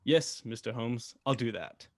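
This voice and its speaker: A man's voice: mid-range voice, a little slow, no room sound, clean studio sound, close to an everyday voice. A male actor in a radio drama playing a proud, earnest young bridegroom who speaks firmly. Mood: earnest, reassuring.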